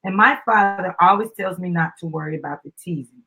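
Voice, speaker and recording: Woman, slightly rough-edged voice, upbeat host reading a children's book aloud with casual asides and prompts for a little one. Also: lowish voice, brisk, bright, forceful tone, soft background music, poor audio. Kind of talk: live dramatic storybook reading with chatty asides.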